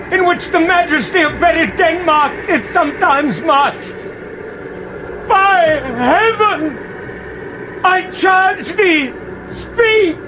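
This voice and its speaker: An actor playing a scholarly sceptic shaken by a ghost, speaking classical verse eloquently and emphatically in an audio drama. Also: male voice, extremely high pitch, poor audio. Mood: fearful, commanding.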